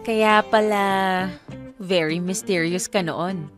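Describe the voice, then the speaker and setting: Lady playing a young filipino woman, admiring n apologetic, slow talker, radio drama w guitar behind her. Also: fairly low pitch. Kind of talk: conversational radio drama dialogue.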